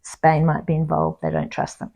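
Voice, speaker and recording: A chatty woman with a slightly low voice and plenty of gravelly creak running through her sentences. She speaks as an author talking through her craft in a relaxed interview, with loose restarts and laughter along the way. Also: normal speed. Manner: reads her own prose aloud with lively expression. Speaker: author and podcast guest. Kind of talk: casual interview chat. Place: home near trees with birds outside.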